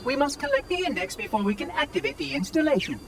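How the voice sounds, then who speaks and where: An actor voicing a prim, fussy ancient machine caretaker in a video game cutscene, speaking in a polite, precise and formal way. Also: male voice, very high-pitched, very animated, fast talker, a little hiss or hum, heavily acted. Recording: distant voice over loud music.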